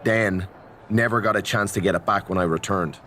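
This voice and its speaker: A man acting as an eager, sympathetic wrestler in a game cutscene. His conversational voice is low and earnest, with faint noise behind. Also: fairly even intonation, a little fast, fairly bright tone, lightly acted. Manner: earnest, supportive. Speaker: wrestler character.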